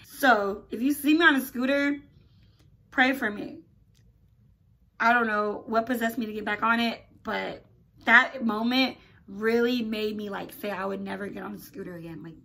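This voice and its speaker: A young woman, raised in America after being born in Nigeria, telling stories casually to her channel with lots of "like" and "oh my gosh". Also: medium pitch. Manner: animated, chatty, lots of "like" and "oh my gosh". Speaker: YouTuber. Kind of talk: casual storytelling to camera.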